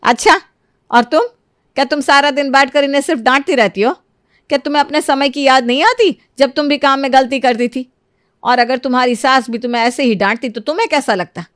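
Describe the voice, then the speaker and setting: A woman voicing a kindly, motherly middle-aged lady who reasons gently in a moral storybook tale. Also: sits high, fairly quick, no room sound, clean studio sound. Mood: reproachful. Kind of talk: animated moral storybook dialogue.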